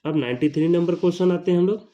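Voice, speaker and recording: A man with an Indian accent teaching an exam question-and-answer lesson, deliberately repeating each question and answer. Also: a little high, mellow, lo-fi sound.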